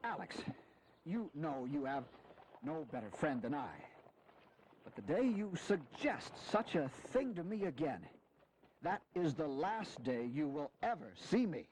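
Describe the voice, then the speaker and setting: A man's voice: on the high side, big pitch swings, a little slow, a little mellow, a little hiss or hum, rough recording. An actor playing a weary, honourable general in his fifties, grave and dignified, in a historical audio drama. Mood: stern. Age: 50s.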